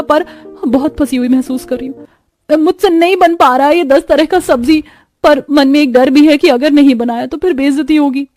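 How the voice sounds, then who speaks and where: Cartoon young daughter-in-law, female performer: high-pitched, fretful, pleading, light music underneath. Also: a little mellow, lightly acted. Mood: troubled, complaining. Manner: fretful, pleading, talks to herself. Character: anxious young daughter-in-law hiding a cooking secret. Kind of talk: Hindi animated moral story dialogue.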